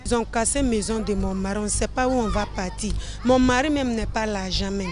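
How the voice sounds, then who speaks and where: A woman's voice: mid-range voice, fairly quick. A woman in a street interview, insistently repeating her worry. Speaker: evicted resident.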